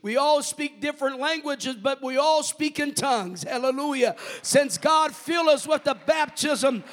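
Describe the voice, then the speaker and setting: A man, his Portuguese, preaches a fiery missionary testimony, shouting out hallelujahs. His words ring out with a long reverb through a church sanctuary. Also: very high voice, moderate pace. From Brazil. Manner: fervent, calls out hallelujahs, shouts at peaks. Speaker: missionary pastor.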